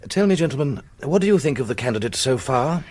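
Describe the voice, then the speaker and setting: An actor playing a senior British lord and college fellow, pompous and drily ironic in long orotund phrases. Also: male voice, medium pitch, animated, fast, a little mellow, a little hiss or hum, lightly acted. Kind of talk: British radio drama acting.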